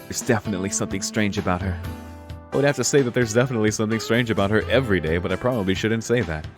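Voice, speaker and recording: A man with a fairly low voice does a casual let's-play, reading narration and dialogue out loud. He breaks off for incredulous, profane and joking reactions. Guitar music from the game plays clearly behind him. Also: very fast. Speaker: streamer playing and reading a visual novel. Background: guitar music of a game soundtrack.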